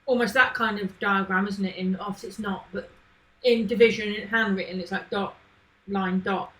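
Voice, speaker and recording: A woman's voice: mid-range voice, fairly even intonation, normal speed, a bit bright, low-quality recording. A woman chatting casually on a live coding stream, thinking aloud and answering questions from chat. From not from Manchester. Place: home, living in Manchester.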